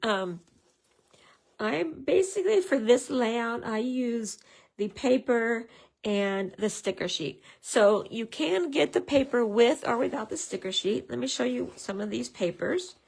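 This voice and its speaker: Chatty craft demonstrator, woman, hoarse with a head cold, gravelly. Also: fairly high pitch, expressive, unhurried, a little mellow, poor audio. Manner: chatty, greets viewers, many fillers. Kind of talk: casual live craft tutorial.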